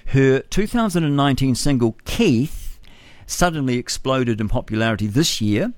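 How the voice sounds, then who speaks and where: A man's voice: medium pitch, expressive, normal speed, no echo. A man hosting morning radio, chatting his way through the news with offhand asides and fillers. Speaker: radio host. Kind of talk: casual news commentary.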